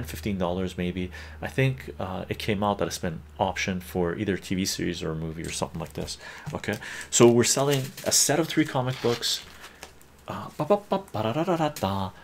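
Gravelly-voiced man hosting a stream auction. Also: fairly low pitch, normal speed, slight background noise. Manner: chatty, laughs often, repeats phrases. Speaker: streamer running a live auction. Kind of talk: casual live-stream auction chat. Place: sunny room full of plants, indoors.

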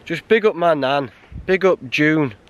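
An English man in his thirties talking to camera outdoors on a windy mountain, subdued and halting with many restarts. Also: a little high, muffled recording. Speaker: hiking vlogger. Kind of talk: casual talk to camera. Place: outdoors on a windy winter mountain, sheltering behind a stone wall. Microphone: camera held close at arm's length. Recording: wind noise on the mic outdoors.

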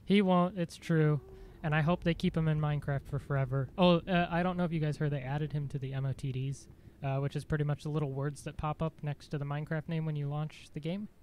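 A man streaming a game, chatting casually in a dry, monotone voice, rambling with fillers and self-corrections. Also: a little high, fairly quick, a little hiss or hum. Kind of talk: casual live-stream chat.

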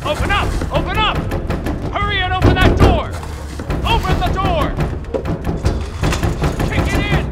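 Man acting a high, shouting police officer. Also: a little slow, long pauses. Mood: aggressive, urgent. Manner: shouting, urgent. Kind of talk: dubbed stage drama acting. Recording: loud music under the shouting.

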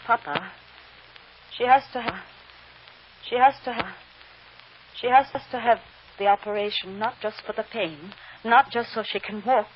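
Actress as an anxious immigrant wife, muffled old radio. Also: female voice, a little high, unhurried, pauses often, a little hiss or hum, lightly acted. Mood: anxious, pleading. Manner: earnest, emotional, stilted foreign phrasing. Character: devoted, anxious immigrant wife and mother, insistent, tender. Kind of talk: old-time radio drama acting.

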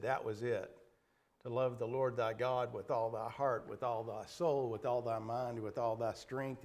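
A middle-aged man preaching a sermon in a small church, slightly hoarse, earnest and halting, clearing his throat often. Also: medium pitch. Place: small wood-paneled church, indoors. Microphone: pulpit microphone at a distance.

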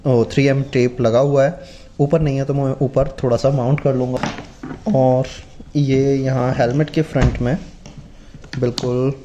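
Soft-spoken man demonstrating gear. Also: medium pitch, a little hiss or hum. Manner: explains step by step while handling gear. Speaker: motovlogger reviewing gear. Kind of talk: casual unboxing demo. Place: indoors at a table, off camera.

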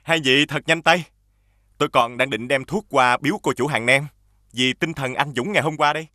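Genial cartoon magistrate played by a man: hearty, formal, bright mid-pitched voice, recorded dry. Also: normal speed, dry recording, natural voice. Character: genial, dignified male government official. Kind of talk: animated fairy-tale dubbing.

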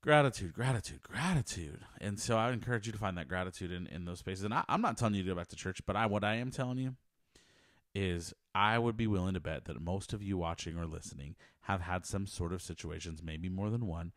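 A man with a very deep, low voice talks earnestly into a handheld mic held close to his mouth. He rambles a little, repeats himself and restarts sentences as he shares his thoughts. The sound is dry and close, with almost no room tone. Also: brisk, no echo. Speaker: podcast host. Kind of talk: personal faith podcast monologue. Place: small home office. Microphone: handheld dynamic mic close to mouth.

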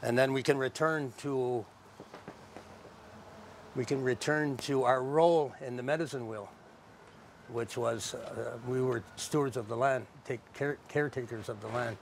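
A middle-aged man explaining his craft informally, in a plain-spoken, halting, reflective way, as a traditional builder. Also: medium pitch, fairly even intonation, vocal fry, slight background noise. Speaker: traditional canoe builder and knowledge keeper. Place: outdoors under a park tent.

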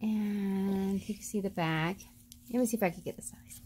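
A woman's voice: mid-range voice, steady tone, normal speed, mellow, breathy edge. A woman casually showing items for a vlog, talking in a hesitant, rambling way in a carpeted room at home. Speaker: shopping haul vlogger. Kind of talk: casual narrated show-and-tell.

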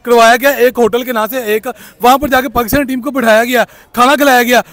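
A man's voice: very high voice, steady tone, fast, a bit bright, no echo. A young man ranting heatedly in a street vox pop at night, talking into a handheld mic. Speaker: interviewee. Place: city street at night, outdoors.

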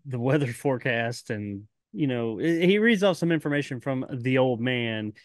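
A man's voice: medium pitch, lively intonation, normal speed, dry recording. Laid-back rambling man, dry mic. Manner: rambling, laughs often, swears casually. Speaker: podcast co-host. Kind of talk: casual podcast chat.

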